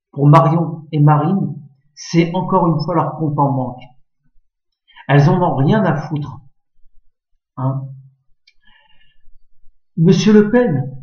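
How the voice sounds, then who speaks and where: A man born in France speaks indignantly to his viewers, piling up rhetorical questions and tagging his points with "hein". His voice sits fairly high. The audio is echoey and dull, with a lo-fi quality. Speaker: political commentator addressing viewers. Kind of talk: impassioned monologue to camera.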